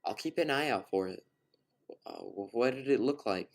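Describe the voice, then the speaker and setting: A man's voice: mid-range voice, lively intonation, normal speed, creaky, pitched above the performer's own voice. A man voicing a friendly, slightly flustered young woman in a lifted, eager voice.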